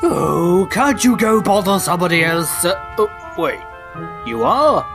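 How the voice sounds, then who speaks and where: A man's voice: high voice, lively intonation, a little slow, music in the background. A man voicing a worried, tired steam engine in a cartoon dub, hesitant and stammering. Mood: flustered. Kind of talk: cartoon-style character dub.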